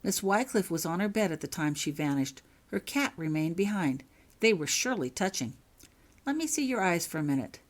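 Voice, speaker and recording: A woman voicing a serene, inquisitive young witch in a storybook, speaking in a calm and matter-of-fact manner. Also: lowish voice, moderate pace, natural voice.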